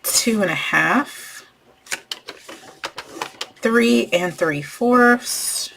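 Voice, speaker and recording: A woman hosting a craft tutorial, losing her voice and clearing her throat as she talks through each step while working. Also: a little high, animated, very slow, fairly bright tone, a little hiss or hum. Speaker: crafting channel host.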